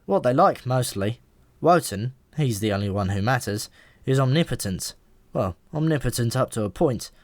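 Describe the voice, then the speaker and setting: A man's voice: mid-range voice, animated, moderate pace, darkish tone, no echo, natural voice. A man voicing a talking pigeon in an audiobook, a knowing and easily exasperated explainer with a wry, emphatic manner. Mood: explaining, wry.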